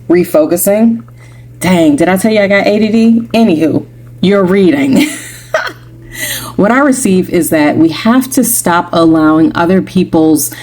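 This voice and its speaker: A woman with a deep voice giving a casual, chatty motivational talk to the camera, full of rhetorical questions and playful asides. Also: darkish tone, slightly rough. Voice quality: deep voice, groggy when tired. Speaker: spiritual reader.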